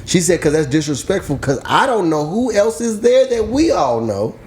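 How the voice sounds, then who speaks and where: A man co-hosting a casual podcast, telling stories loudly and animatedly with plenty of swearing. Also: sits high, normal speed, a little hiss or hum. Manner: animated, loud storytelling with profanity. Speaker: podcast co-host. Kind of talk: casual chat.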